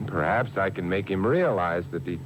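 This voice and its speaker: An actor playing a suave, unflappable amateur sleuth with a dry, urbane manner in a 1950s radio mystery. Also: male voice, deep voice, moderate pace, lightly acted. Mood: calm, watchful. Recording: noisy old radio broadcast taped on cassette.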